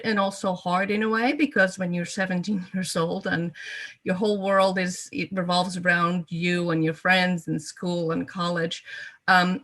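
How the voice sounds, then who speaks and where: A woman's voice: medium pitch, a bit bright. A woman in her fifties, from Portugal and a native Portuguese speaker, telling her life story as an interview guest with frequent ums and repeated words. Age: 50s.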